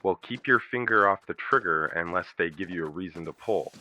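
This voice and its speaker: A man playing a steady, level-headed comrade in an audio drama, firm and reasoning. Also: sits low, fairly quick, slight background noise. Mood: firm, reassuring.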